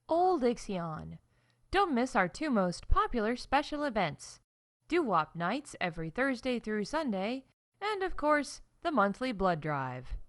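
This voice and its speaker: A woman playing a mock-salesy sponsor announcer in a parody commercial, with drawn-out emphasis and deadpan punchlines. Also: fairly high pitch. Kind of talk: parody commercial read, scripted.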